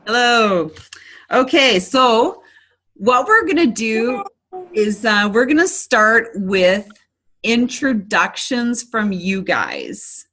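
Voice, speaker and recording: A woman's voice: fairly high pitch, very animated, slow, sharp tone. A woman hosting a casual online business presentation, chatty and upbeat, with frequent ums and restarts. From Canada.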